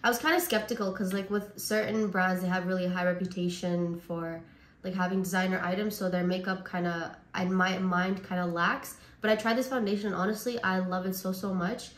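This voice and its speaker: A woman from Canada, hoarse and raspy from a cold, chatting casually as a beauty vlogger through a makeup tutorial. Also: lowish voice, steady tone, fairly quick, roomy sound. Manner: chatty, says like and honestly a lot.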